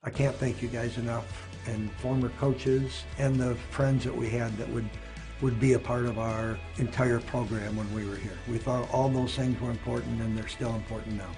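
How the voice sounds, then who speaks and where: A man's voice: mid-range voice, flat delivery, moderate pace. A man giving an earnest, grateful tribute in a recorded video. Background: background music.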